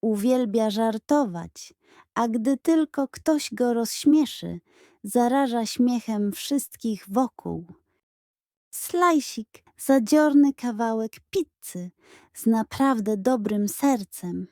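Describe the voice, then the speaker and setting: A woman narrates a children's bedtime audiobook in a fairly high voice. She takes her time, telling the story expressively and articulately, in a dry, close recording. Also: expressive, takes it slowly, warm tone, dry recording.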